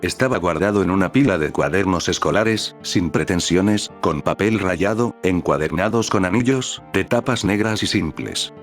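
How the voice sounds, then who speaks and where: Deep-voiced man narrating a creepy tale. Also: normal speed, hardly any pauses, soft background music. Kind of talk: scripted narration of a creepy story.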